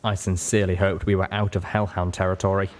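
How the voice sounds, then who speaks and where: A man's voice: sits low, level tone, fast talker, slight background noise. A man reading a scripted first-person adventure story as an audiobook narrator. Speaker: audiobook reader.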